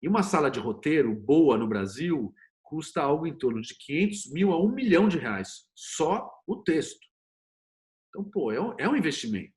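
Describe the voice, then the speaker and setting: Higher-voiced Brazilian man explaining. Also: moderate pace. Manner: opinionated, animated storytelling with rhetorical questions and acted quotes. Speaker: screenwriter and series producer, interview guest. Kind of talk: conversational interview, explanatory.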